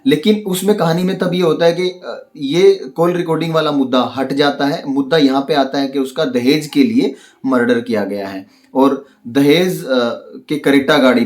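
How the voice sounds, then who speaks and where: Man giving halting, opinionated commentary. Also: medium pitch, darkish tone. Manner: explanatory and opinionated, with halting, searching phrasing. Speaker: online commentator analysing a crime case. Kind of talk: unscripted spoken commentary on a crime case.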